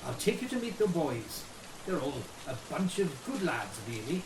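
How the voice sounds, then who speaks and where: A man plays a down-to-earth private in a wartime radio play, chummy and reassuring. His pitch moves freely, and the sound is echoey and distant with heavy rain behind. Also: big pitch swings, normal speed, background noise. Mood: cheerful, instructive. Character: friendly, down-to-earth veteran British private guiding recruits. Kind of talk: period war radio drama acting.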